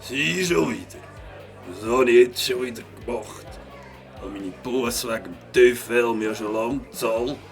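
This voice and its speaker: Gravelly, grumbling Swiss German troublemaker. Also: male voice, mid-range voice, soft background music. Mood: grumbling, irritated. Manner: grumbling, slow, defensive. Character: gruff, grumbling pub-going male litterbug caught out. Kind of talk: children's audio drama acting.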